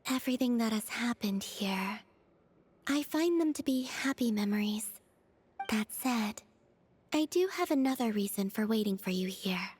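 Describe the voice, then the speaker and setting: Serene, playful young goddess played by a woman, video game. High, airy, formal and unhurried. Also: expressive, darkish tone, a little hiss or hum, close to an everyday voice. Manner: unhurried, dreamy, formal phrasing. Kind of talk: scripted video game dialogue.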